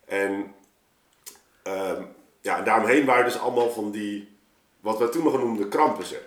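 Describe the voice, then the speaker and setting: A man telling anecdotes in an informal, animated way, as in a casual podcast chat. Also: on the low side, normal speed. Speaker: podcast guest, artist telling of a project. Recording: roomy, echoing room sound.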